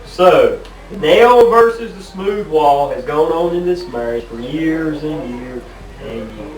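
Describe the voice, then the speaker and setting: A man's voice: on the high side, lively intonation, slow. A man playing a fussy, complaining husband bickering comically in a stage sketch. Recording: distant echoey hall, audience noise.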